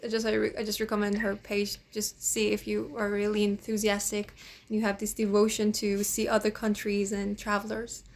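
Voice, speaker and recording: A sixteen-year-old girl from Iran with a Persian accent, chatting casually and haltingly, often saying "you know". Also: medium pitch, monotone. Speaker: host, language teacher. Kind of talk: casual chat.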